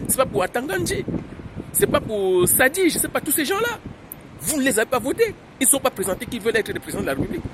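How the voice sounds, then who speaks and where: A man from Cameroon in his middle years rallies viewers in a fervent, repetitive vlog. His voice rides high and swings widely as he exhorts. It was caught on a phone outdoors, with clear street and traffic noise behind him. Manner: impassioned, exhorting, repeats phrases. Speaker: YouTuber. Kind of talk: political vlog monologue.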